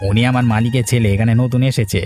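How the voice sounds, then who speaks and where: An actor playing a deferential, practical business manager in a cartoon story. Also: male voice, medium pitch, level tone, quick pace, dark tone, faint music behind, lightly acted. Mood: polite.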